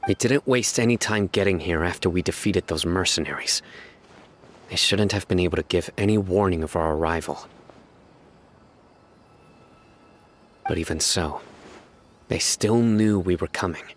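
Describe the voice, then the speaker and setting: A man playing a stern, calm, analytical desert enforcer in a video game, speaking in a measured, serious, deliberate way. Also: low-pitched, slight background noise, natural voice. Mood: suspicious. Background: music.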